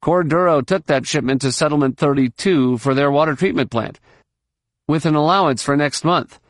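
A man's voice: on the high side, moderate pace, no echo, voice pushed up, natural voice. A man voicing a polite, apologetic official on a comm line.

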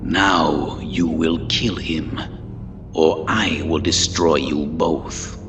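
A man's voice: extremely low pitch, fairly even intonation, a bit bright, soft background music, voice pushed down, full character voice. A man doing a hypnotic, sinister old emperor. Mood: threatening. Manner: slow, menacing, hypnotic. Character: sinister old male emperor, cruel, domineering.

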